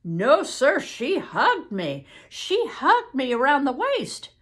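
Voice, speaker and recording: A woman voicing a nervous, polite young rural man from the American South in an audiobook, halting and earnest. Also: sits high, huge pitch swings, fairly bright tone, voice pushed up, full character voice. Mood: distressed, insistent. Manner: halting, earnest, deferential, folksy. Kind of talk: audiobook character acting.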